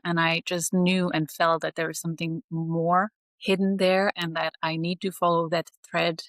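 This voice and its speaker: A woman, speaking as a guest in an earnest, measured way. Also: on the low side, a bit bright, no echo. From Slovakia. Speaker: astrology teacher, guest. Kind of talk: reflective interview talk.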